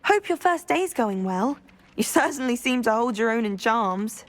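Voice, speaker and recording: A woman's voice: a little high, expressive, moderate pace, lightly acted. An actress playing an eager, polite and chatty schoolgirl witch in a video game. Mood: eager, friendly. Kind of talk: video game character acting.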